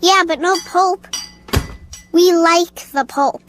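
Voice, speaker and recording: A performer playing an excitable, cheeky young girl in a radio drama, eager and full of questions. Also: very high-pitched, slow pace, noisy background. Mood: casual.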